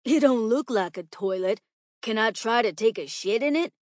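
A woman's voice: fairly high pitch, animated, normal speed, dry recording, studio quality, voice pushed up, close to an everyday voice. A woman voicing a dim, curious young schoolboy in a storybook, blunt and guileless.

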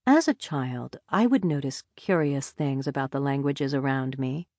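A woman narrating a nonfiction audiobook in a measured, deliberate reading style on a close studio mic. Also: very deep voice, a little mellow, dry recording.

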